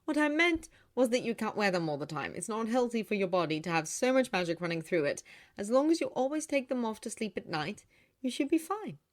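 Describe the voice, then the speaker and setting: A woman's voice: a little high, very animated, fairly quick, pitched above the performer's own voice. A woman voicing a caring elder sister in a story, explaining and cautioning in a reassuring way. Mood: gently cautioning.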